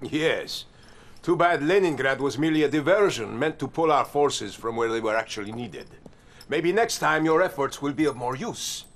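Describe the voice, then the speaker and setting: An actor playing a veteran Soviet general who talks in a sarcastic, condescending way. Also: male voice, medium pitch, expressive, moderate pace. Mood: mocking. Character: sardonic veteran male Soviet general, scornful.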